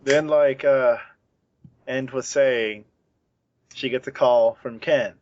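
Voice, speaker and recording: A man's voice: medium pitch, steady tone, slow, a little mellow, highs cut off, slight background noise. A man co-hosting a podcast in casual, jokey film banter, insistent and prone to repeating himself. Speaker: podcast co-host.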